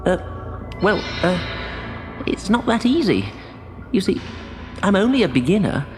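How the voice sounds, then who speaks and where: A man's voice: sits high, sing-song, a little slow, faint music behind, voice pushed up. A man voicing an eager, timid boy apprentice sorcerer, bumbling but hopeful, in a children's audiobook. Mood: anxious. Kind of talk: audiobook character voice.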